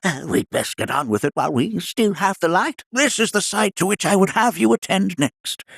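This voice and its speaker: A man playing a whimsical, absent-minded elderly scholar in a video game, raspy and creaky, speaking in formal, old-fashioned phrases. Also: sits high, lively intonation, normal speed, no room sound, clean studio sound, heavily acted. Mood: encouraging. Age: older. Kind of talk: video game character acting.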